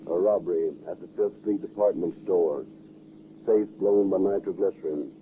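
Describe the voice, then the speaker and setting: A man's voice: sits low, steady tone, normal speed. A man giving a terse, official police radio bulletin as a dispatcher, in clipped 1930s style. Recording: very noisy, tinny 1930s radio recording.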